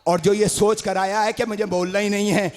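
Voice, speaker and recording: A man with an Urdu accent delivering a majlis sermon, rising to shouts and sung phrases as he calls out to the gathering. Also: sits high, level tone, fairly quick. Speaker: majlis orator. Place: large religious gathering hall. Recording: long hall reverb.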